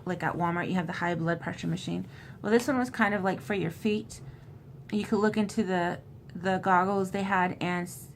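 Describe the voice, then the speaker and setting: Woman doing a casual book recap n reading bits out, normal pitch, some background noise. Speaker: host recapping a horror novel. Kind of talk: casual book recap with passages read aloud.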